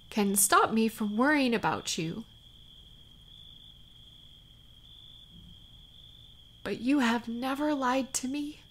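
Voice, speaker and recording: Slow, tender, worried woman, acted, faint night ambience. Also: a little high, slight background noise. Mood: worried, pleading. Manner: soft, halting, sighing, sometimes near whisper. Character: tender, worried woman parting from her warrior beloved. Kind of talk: intimate scripted audio roleplay.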